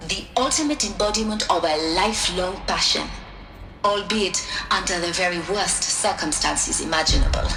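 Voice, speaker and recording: An actress playing a poised female archivist who speaks in a formal, articulate, measured way. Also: medium pitch, close to an everyday voice. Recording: roomy sound with music behind.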